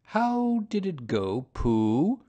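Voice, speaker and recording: A man's voice: fairly high pitch, sing-song, extremely slow, warm tone, voice pushed up, full character voice. A man voicing a bossy, scheming rabbit in a storybook reading, coaxing and managing the others.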